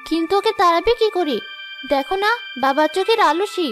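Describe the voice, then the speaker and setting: Woman doing a spiteful spoiled daughter in a cartoon, really high voice, demanding, light music. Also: expressive, fairly quick. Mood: questioning. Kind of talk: cartoon melodrama dialogue.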